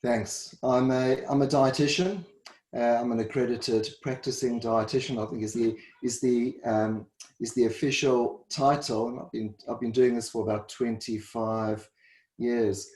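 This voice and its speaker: A middle-aged South African man, a dietitian and first responder, answers interview questions in a halting way and often repeats words. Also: mid-range voice, steady tone, darkish tone. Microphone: video call. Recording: roomy echo.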